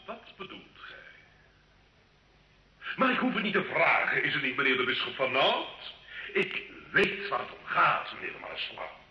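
A man's voice: on the high side, big pitch swings, moderate pace, long pauses, pushed and bright, full character voice. An actor playing a proud, defiant medieval nobleman in a radio play, grandiloquent and mocking. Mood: bitter mockery. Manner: grandiloquent, sarcastic, theatrical. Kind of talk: old Dutch-language radio play acting. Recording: dull, echoey old radio recording.

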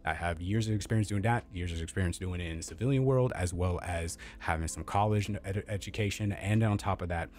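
A man with a very low, deep voice talks straight into a close studio mic in his home streaming room. He rants bluntly and defensively, circling back to his points. Soft music plays faintly behind him. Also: fairly quick, hardly any pauses. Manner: blunt, repetitive, defensive, swears casually. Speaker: tech content creator. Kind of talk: opinionated product review rant. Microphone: studio mic on boom arm, close.

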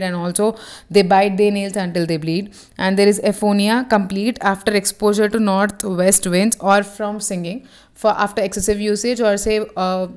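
A woman's voice: mid-range voice, clean tone. A woman teaching homeopathy, reading short passages aloud and then explaining them in her own words, with frequent fillers and self-corrections. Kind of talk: reading and explaining a reference text.

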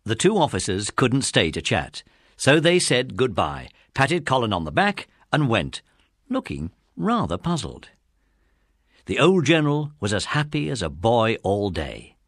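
A British man narrates a children's story with very animated, swooping intonation. His voice sits at a middling pitch, recorded close and dry. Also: normal speed, dry recording.

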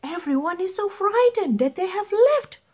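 A woman's voice: extremely high pitch, lively intonation, normal speed, darkish tone, muffled recording, voice pushed up. A woman voicing a frightened pilot, urgent and pleading, in a children's storybook. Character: frantic frightened airplane pilot pleading for help.

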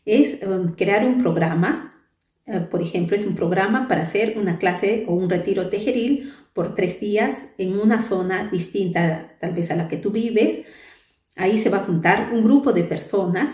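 A woman's voice: medium pitch, moderate pace, a little mellow, distant mic. A woman in her thirties, a crochet channel host, explaining patiently to the camera with frequent fillers and tag questions. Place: small bright room indoors. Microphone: camera at a distance, head and shoulders framing. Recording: echoey room, voice a bit off-mic.

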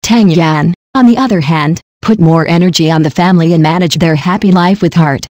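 A narrator reading a scripted celebrity news story in a flat, machine-like delivery. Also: mid-range voice, fairly quick, dry recording, clean studio sound.

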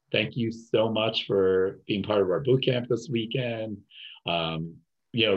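A man with a New Jersey accent hosts an online webinar in a loose, rambling way, his voice very low. The video call audio sounds a little dull. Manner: loose, rambling, repeats fillers. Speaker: webinar host. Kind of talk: casual webinar talk.

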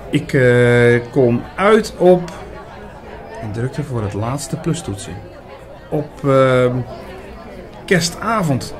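A man playing an earnest older priest who narrates a radio drama in a measured way, with dramatic pauses. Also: on the high side. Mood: thoughtful, eager. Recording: busy chatter behind the voice. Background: busy restaurant crowd.

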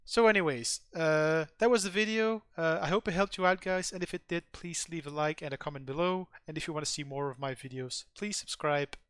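A man's voice: on the high side, a little fast, few pauses, a bit bright, clear, resonant tone, no echo, studio quality. Dutch-accented man explaining over a screen recording. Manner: loose and rambling, many uh fillers and restarts. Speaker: tech channel host.

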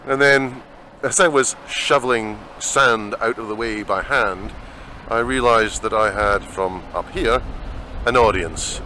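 Scottish male vlogger, lowish bright voice, chatty, faint outdoor hiss on a camera mic. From Scotland. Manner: chatty, rambling. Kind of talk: walking travel vlog narration. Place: outdoors in open desert.

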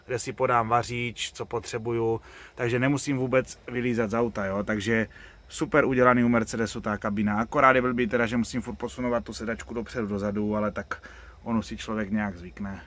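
A man from the Czech Republic chatting casually and colloquially as a truck driver, commenting on his trip from inside the cab. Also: little pitch movement. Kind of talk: casual commentary while driving. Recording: loud engine and road noise. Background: vehicle rumble and road noise.

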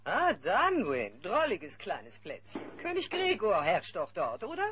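Evil sorceress: haughty, purring, imperious, theatrical audio-play villainess with hugely varied intonation. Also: female voice, on the low side, huge pitch swings, a little slow, fairly bright tone, slight background noise, exaggerated voice. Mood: silky, scheming. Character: haughty, power-hungry evil sorceress lady. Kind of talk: children's cartoon audio drama acting.

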